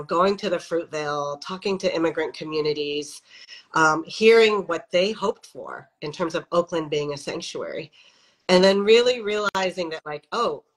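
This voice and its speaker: A middle-aged woman, a faith leader from the Midwest, speaks as a guest over a video call from a home office. Her voice sits slightly low, and she talks in a reflective, conversational way, full of "you know" fillers as she thinks aloud. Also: fairly bright tone, low-quality recording. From American Midwest. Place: home office with windows, indoors. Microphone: video call webcam.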